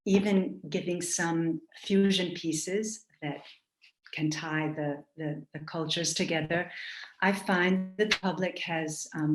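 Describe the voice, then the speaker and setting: A woman dancer speaking as a panel guest, explaining in a measured way with frequent pauses and ums. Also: low-pitched, reverberant, low-quality recording. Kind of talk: panel discussion.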